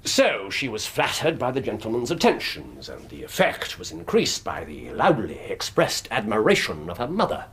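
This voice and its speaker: An actor playing a shrewd, scornful Victorian detective in a British radio drama, English accent, speaking with precise, theatrical delivery. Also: male voice, on the low side, wildly swooping pitch, moderate pace, hardly any pauses, fairly bright tone, slightly rough, heavily acted. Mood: expounding, dry.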